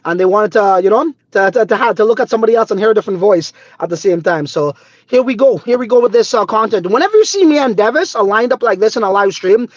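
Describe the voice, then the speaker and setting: High-voiced excitable man, dull phone audio. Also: fast talker, no room sound. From Trinidad. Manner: excitable, swears a lot, says bro and dude often. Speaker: crypto livestream host. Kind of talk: casual livestream chat.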